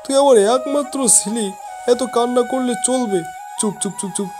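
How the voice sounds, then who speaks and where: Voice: mid-range voice, normal speed, natural voice. An actor voicing a village father in a cartoon, rustic and repetitive, fearful and doting as he scolds. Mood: soothing. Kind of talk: amateur cartoon voice acting. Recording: loud music behind the voice.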